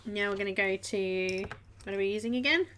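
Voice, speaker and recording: A woman's voice: mid-range voice, fairly quick, fairly bright tone, clean tone. A woman with an Australian accent hosting a live coloring tutorial, chatting and giggling as she works at her desk. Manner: chatty, giggly, thinking aloud while drawing. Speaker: art stream host.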